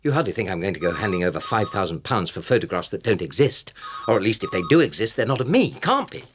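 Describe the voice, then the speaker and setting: An actor playing a harassed middle-aged English husband in a naturalistic radio drama, insistent, defensive and exasperated. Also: male voice, fairly low pitch, big pitch swings, quick pace, fairly bright tone, a little hiss or hum. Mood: irritated.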